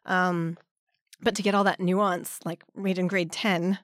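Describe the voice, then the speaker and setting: A woman in her forties, raised in Canada, telling her story as an interview guest, lively and often laughing as she acts out quotes. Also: fairly low pitch, unhurried, dry recording, studio quality. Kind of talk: casual conversational interview.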